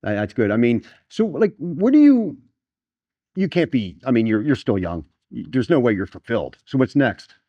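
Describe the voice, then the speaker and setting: A man's voice: medium pitch, sing-song, normal speed, dry recording, dull audio. A middle-aged man hosting a casual sports interview, chatting and putting questions to his guest. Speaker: podcast host interviewer.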